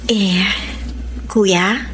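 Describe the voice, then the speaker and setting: An actress with a Filipino accent playing a cheerful, devoted younger sister in her early twenties in a Tagalog radio drama, animated and earnest. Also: medium pitch, very slow. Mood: hopeful pleading.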